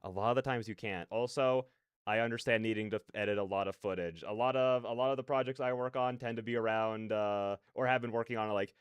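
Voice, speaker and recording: A man's voice: on the low side, steady tone, fast, clear, resonant tone, dry recording. A man hosting a live stream in casual, rambling, self-deprecating chat, on a close dry mic. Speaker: streamer and host. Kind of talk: casual live-stream chat with chat replies and read-aloud game narration.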